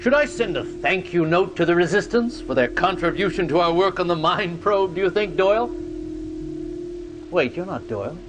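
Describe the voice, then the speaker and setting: Video game villain: sinister, haughty male doctor, high-pitched and very animated, theatrical mockery. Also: normal speed, muffled recording. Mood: haughty, indignant. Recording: loud music behind the voice.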